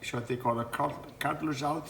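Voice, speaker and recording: A man's voice: a little high, fairly even intonation, moderate pace, gravelly, a bit bright, slight background noise. Rambling male chef. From Portugal. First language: Portuguese. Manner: rambling, many fillers and restarts. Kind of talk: home cooking demonstration.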